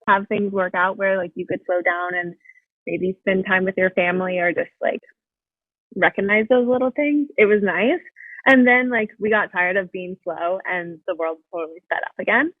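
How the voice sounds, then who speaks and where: A woman's voice: medium pitch, no echo. A young woman talking as a podcast guest in a chatty way, full of "like" fillers, over a video call. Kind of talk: casual interview chat. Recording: dry, phone-like call audio.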